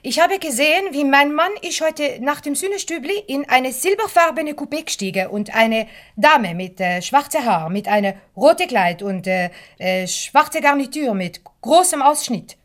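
An actress playing a jealous, indignant boss's wife with a French accent, imperious and halting for words in a radio comedy. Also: female voice, high-pitched, animated. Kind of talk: Swiss comedy radio play acting.

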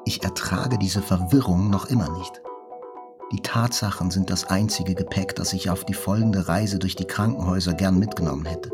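An actor playing a wounded journalist who recalls an attack in a measured, reflective, scripted narration. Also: male voice, low-pitched, steady tone. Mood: sober, reflective. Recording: prominent music under the narration.

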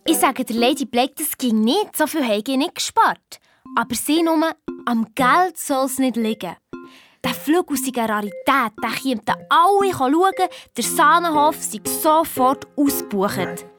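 A woman's voice: high voice, expressive, unhurried, a bit bright, faint music behind. A woman playing a practical, sceptical young schoolgirl detective with a Swiss German accent, talking in a matter-of-fact, colloquial way. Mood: excited. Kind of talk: children's radio play, colloquial retelling.

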